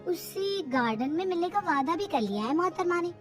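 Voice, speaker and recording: Woman playing a sly, high-voiced gossiping sister-in-law. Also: expressive, fairly quick, over music. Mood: sly, scandalized. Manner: sly, insinuating. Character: gossiping, stirring young sister-in-law, mock-scandalized.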